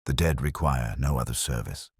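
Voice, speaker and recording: A man voicing a coolly precise, commanding detective in an audio story, quiet, intent and clipped. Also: extremely low pitch, moderate pace, husky, no room sound, studio quality, voice pushed down, full character voice.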